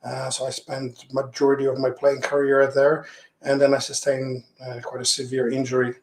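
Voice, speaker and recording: A man answers interview questions earnestly, stopping and restarting his phrases as he recalls things. His mid-pitched voice rings in an echoey room. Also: completely flat delivery. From Poland. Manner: earnest, halting, restarts phrases while telling stories. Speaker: interview guest, former pro goalkeeper.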